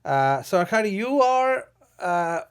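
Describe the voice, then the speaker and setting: A man hosting a conversational interview show, haltingly restarting his questions as he feels his way toward them. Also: high-pitched, sing-song, clear, resonant tone. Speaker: talk show host.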